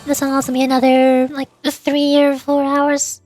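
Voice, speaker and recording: A woman's voice: high-pitched, completely flat delivery, moderate pace, a little mellow, no echo, soft background music. A woman livestreamer chatting casually over a game in a playful, theatrical way. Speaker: vtuber streamer.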